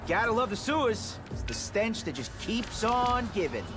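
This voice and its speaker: A man's voice: high voice, big pitch swings, normal speed, over music. A man voicing a sarcastic, hot-headed mutant turtle with streetwise slang. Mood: wisecracking. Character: young male mutant turtle hothead, sarcastic tough guy.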